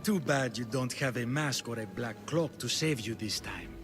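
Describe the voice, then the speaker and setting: A man's voice: medium pitch, expressive, normal speed, over music, lightly acted. An actor playing a smug, scheming Spartan king in a video game cutscene, haughty and sardonic.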